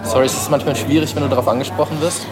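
A man, a parent, slowly reciting rhyming spoken-word poetry. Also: mid-range voice, steady tone. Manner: slow, rhyming delivery. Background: music.

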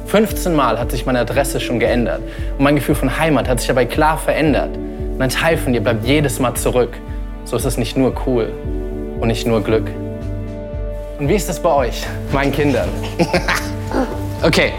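A man, a parent, slowly reciting rhyming spoken-word poetry. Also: mid-range voice. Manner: slow, rhyming delivery. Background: music.

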